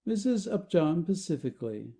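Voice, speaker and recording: A man's voice: on the high side, sing-song, normal speed, gravelly, warm tone. A man reading stage directions as the narrator of a scripted play.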